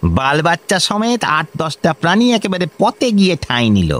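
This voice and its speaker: An actor playing a wily village grain trader who flatters and pleads long-windedly in a radio comedy. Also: male voice, a little high, normal speed. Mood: fawning. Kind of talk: Bengali radio comedy drama acting.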